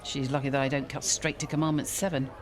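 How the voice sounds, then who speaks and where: A woman's voice: extremely low pitch, little pitch movement, fast talker, over music. A woman acting an exasperated, wry village vicar in a radio soap, with a dramatic, sardonic delivery. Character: exasperated, wry female village vicar venting about a rival.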